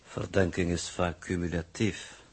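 An actor playing an older, enigmatic and coolly logical space coordinator in a 1960s Dutch radio drama, formal and measured. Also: male voice, deep voice, little pitch movement, husky, full character voice.

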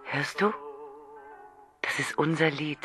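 An actress playing the seductive, intimate voice of a mobile phone in a radio crime drama, speaking softly and coaxingly over music. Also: female voice, low-pitched, slow. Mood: tender.

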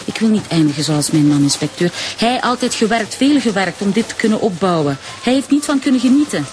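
Woman acting as middle-aged Turkish widow, proud shopkeeper, protective mother, emphatic, medium pitch, radio drama, faint ambience behind. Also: very animated, brisk, a little mellow, a little hiss or hum, close to an everyday voice. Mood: weary, firm.

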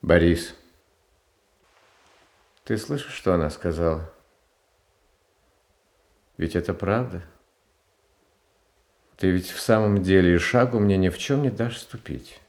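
A mild young tsar in a classic radio play. His voice sits low and moves slowly, soft and pleading, though he bristles into hurt indignation when crossed. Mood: agitated. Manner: soft pleading, childlike, flares into hurt protest. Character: gentle meek young tsar Fyodor. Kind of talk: 1970s Soviet radio drama.

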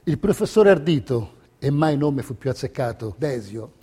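A man delivering scripted theatrical narration in measured, deliberate phrases. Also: medium pitch, animated, dark tone, slightly rough. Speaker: stage narrator.

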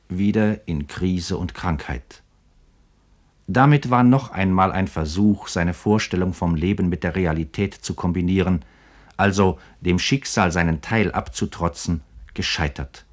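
A man with a deep voice delivers scripted radio commentary on literature. He sounds grave and deliberate, shaping his sentences rhetorically. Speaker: narrator, commentator.

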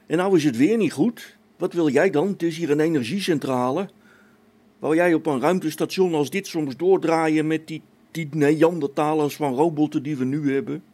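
Mellow, practical space technician, played by a man. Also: on the high side, normal speed, close to an everyday voice. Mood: irritated. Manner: down-to-earth, brusque, colloquial. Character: practical, matter-of-fact male space-station technician, mildly irritable. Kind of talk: Dutch radio play acting.

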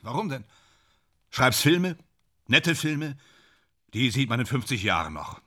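A bitter, hard-drinking actor, widely swinging delivery. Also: male voice, mid-range voice, sing-song, measured pace, bright, forceful tone, heavily acted. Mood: bitter, resigned. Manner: sardonic, brooding, theatrical, swinging from muttering to outbursts. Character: bitter, hard-drinking male film actor in Nazi Germany. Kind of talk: German radio play acting.

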